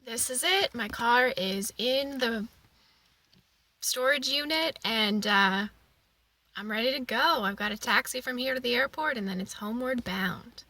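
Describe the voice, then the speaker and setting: Slow, gravelly young woman vlogger. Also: fairly high pitch, pushed and bright, no echo. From Washington State, USA. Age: young adult. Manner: chatty and candid, with fillers and self-mocking asides. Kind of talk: casual vlog to camera.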